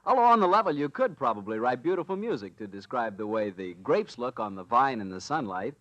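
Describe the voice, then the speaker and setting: A man's voice: medium pitch, big pitch swings, a little fast, a bit bright, dry recording, highs cut off. A man with an American accent hosting and announcing in a polished, emphatic 1940s radio sponsor style.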